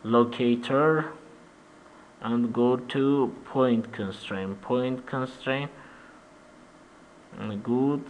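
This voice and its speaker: A man from Armenia with an Armenian accent, guiding a software tutorial in halting, simple English with many "uh-huh" fillers. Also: mid-range voice, a little hiss or hum, rough recording. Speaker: 3D animation tutor. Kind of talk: screen-recorded software tutorial.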